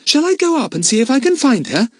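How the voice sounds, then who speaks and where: A man voicing a timid, anxious little girl who talks in a high, urgent, pleading way. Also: huge pitch swings, normal speed, breathy edge, no echo, clean studio sound, full character voice. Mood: eager.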